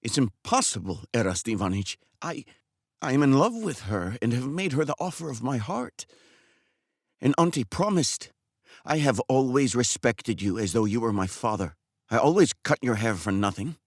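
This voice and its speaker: A timid, heartbroken young barber who stammers and begs in a strained, raised voice, as a man reading the story puts him on. The pitch swoops widely between words. The sound is close and dry. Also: very animated, no room sound. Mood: pleading. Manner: pleading, stammering, quick to despair. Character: young lovesick male barber, timid and tearful.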